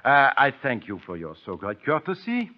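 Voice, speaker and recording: An actor playing a vain, courteous foreign detective with a French accent and ornate broken English, in old radio drama style. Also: male voice, mid-range voice, huge pitch swings, normal speed. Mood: courteous, probing. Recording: dull, band-limited old radio recording.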